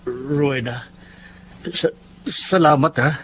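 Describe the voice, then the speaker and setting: An actor playing a hesitant, hard-up father with a halting, sheepish manner in a Filipino radio drama. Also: fairly high pitch, a bit bright. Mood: sheepish, needy. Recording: faint ambient noise.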